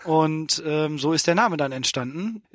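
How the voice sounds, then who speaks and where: A man's voice: fairly high pitch, animated, measured pace, no room sound, clean studio sound. A man from Saarland, a company founder, answering interview questions hesitantly with many fillers, inside a car. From Saarland, Germany. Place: inside a parked car at an expo.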